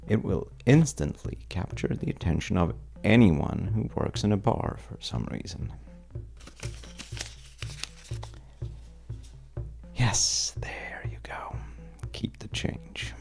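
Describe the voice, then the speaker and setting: A man playing a charming, shifty stranger at a bar in an intimate audio roleplay, telling wry, teasing tales in a hesitant, gravelly way. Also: lively intonation, unhurried, whispered, over music, exaggerated voice. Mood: playful. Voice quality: very deep, gravelly, breathy at times. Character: well-dressed, untrustworthy charming male stranger at a bar, bragging playfully.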